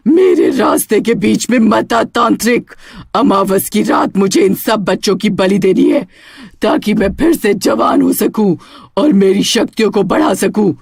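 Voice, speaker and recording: A woman's voice: mid-range voice, a little slow, gravelly, slightly rough, no room sound, studio quality. Woman voicing a menacing, power-hungry witch. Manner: threatening, commanding. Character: menacing child-stealing witch craving youth and power. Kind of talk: animated horror story voice acting.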